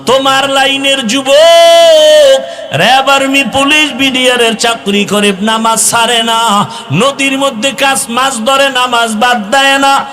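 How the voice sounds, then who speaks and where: A man's voice: very high voice, steady tone, takes it slowly, pushed and bright. A man with a Bangladeshi accent preaching an impassioned Islamic sermon to young people, chanting and drawing out his exhortations. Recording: long hall reverb with music behind.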